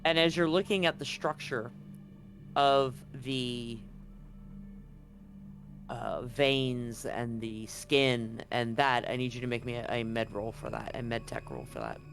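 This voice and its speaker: A man narrating a tabletop roleplaying game as game master, casually and somewhat haltingly, feeling for his words. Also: medium pitch, vocal fry, fairly bright tone, soft background music.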